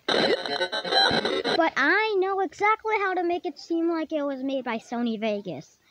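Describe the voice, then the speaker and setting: A tutorial host casually explaining software steps in a halting way, often repeating and rephrasing. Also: extremely high pitch, no echo, soft background music. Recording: dull lo-fi microphone, clearly noisy.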